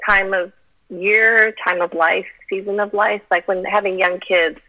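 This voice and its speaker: A woman's voice: medium pitch, steady tone, a little slow, bright, forceful tone. A middle-aged woman from Colorado whose first language was Chinese, chatting as a podcast guest with a giggly, self-deprecating manner. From Colorado, USA. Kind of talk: casual interview chat. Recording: phone line.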